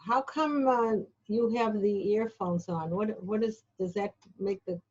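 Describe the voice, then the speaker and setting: A woman's voice: mid-range voice, measured pace, a little mellow. A woman hosting an interview over a video call, asking curious, rambling questions. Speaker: TV show host. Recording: dull lo-fi video call audio.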